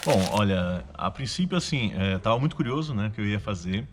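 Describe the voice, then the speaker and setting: A Brazilian man with a lowish voice talks casually in an interview. He comes across as modest and informal, an amateur reflecting on voice work. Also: expressive, fairly quick, over music. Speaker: amateur voice actor.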